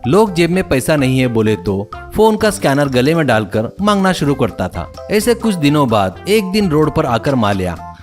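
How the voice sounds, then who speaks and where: A man's voice: on the high side, very animated, normal speed, faint music behind. A man narrating a scripted comic cartoon story.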